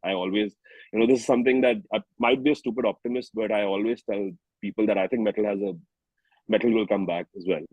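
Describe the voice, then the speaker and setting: Interview guest: young man, Indian accent, lower-pitched, clean crisp tone, thoughtful and sincere delivery. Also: steady tone, fast, no room sound, phone-quality sound. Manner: earnest, reflective, peppered with 'you know'. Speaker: interview guest, singer-songwriter. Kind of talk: casual interview chat.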